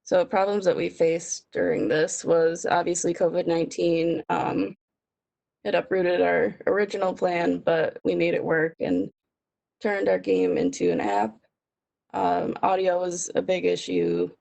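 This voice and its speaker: A young adult woman giving a student slide presentation in a creaky, gravelly voice. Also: fairly low pitch, unhurried, darkish tone.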